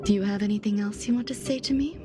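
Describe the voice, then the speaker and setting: A soft-spoken genius scientist, voiced by a woman in a game, aloof and measured, with a middle-pitched voice. She talks calmly and sometimes sinks into a hushed whisper. Game music plays clearly behind her. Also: lightly acted. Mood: detached. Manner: calm, measured, softly whispered at times. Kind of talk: game cutscene voice acting.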